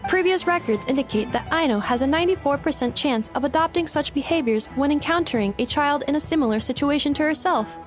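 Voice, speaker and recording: A woman's voice: sits high, animated, quick pace, few pauses, faint music behind. A woman voicing a precise, analytical robot assistant in an anime-style game, formal in wording. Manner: formal, statistic-reciting.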